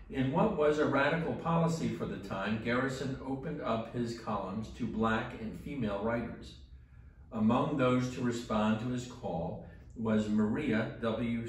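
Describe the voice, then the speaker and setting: A man's voice: medium pitch, slight background noise. An older man, a rector, leading morning prayer and reading steadily from a book in a small church chapel, heard from a camera some distance away. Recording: very echoey room, voice from afar.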